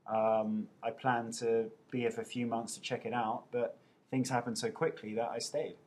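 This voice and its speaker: Man, 30s, husky, mid-pitched, casual and self-mocking webcam Q&A. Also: level tone, moderate pace, darkish tone. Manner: playful and self-mocking, reading out questions. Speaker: actor answering viewer questions. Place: indoors at home. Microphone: webcam mic.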